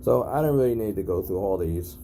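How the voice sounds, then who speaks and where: A very low-pitched man with a gravelly, fry-heavy voice talks casually to camera as he demonstrates equipment on site. His delivery is matter-of-fact and repetitive, and the handheld recording carries clear ambient noise. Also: animated, a little fast, warm tone. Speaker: narrator documenting an intercom test. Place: at a building's entry intercom panel.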